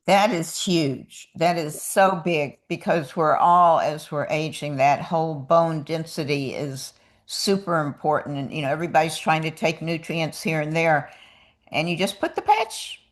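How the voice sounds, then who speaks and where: A woman's voice: sits low, expressive, measured pace. A woman hosting a webinar, inviting callers to unmute and answering their stories with brief encouragement. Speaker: webinar host. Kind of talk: hosting testimonial call-ins.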